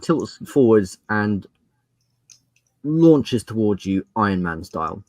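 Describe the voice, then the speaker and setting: Guy game master narrating, low slow voice, dramatic pauses, dry recording. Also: big pitch swings, a little mellow, no room sound.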